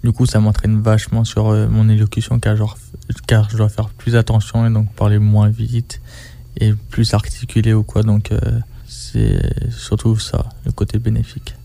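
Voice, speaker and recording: A man of twenty-five giving a halting radio testimony as a trainee, with many fillers. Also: fairly low pitch, completely flat delivery, gravelly, mellow. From France.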